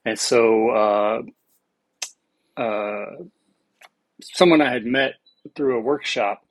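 A man's voice: mid-range voice, slow, no echo, poor audio. Guy who grew up in south africa, chatting easy, laughs a lot, voice kinda dry and close. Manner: reflective, laughs often, many restarts. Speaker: podcast guest. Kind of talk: casual conversation.

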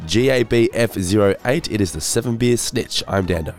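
A man co-hosting a casual podcast, his voice congested and hoarse from a head cold, talking in a weary, self-deprecating way. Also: on the low side, moderate pace, soft background music. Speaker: podcast co-host.

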